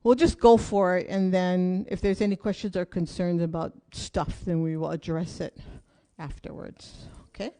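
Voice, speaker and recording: A woman's voice: fairly low pitch, fairly even intonation, normal speed, hardly any pauses, warm tone. A woman teaching an online hula class, upbeat and chatty as she calls out steps, counts and questions to viewers from a room indoors. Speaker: hula instructor. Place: indoor room with curtains closed.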